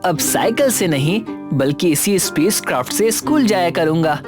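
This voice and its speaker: A woman voicing a sensible, friendly young child in an animated children's story. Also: on the low side, normal speed, over music, close to an everyday voice. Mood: curious.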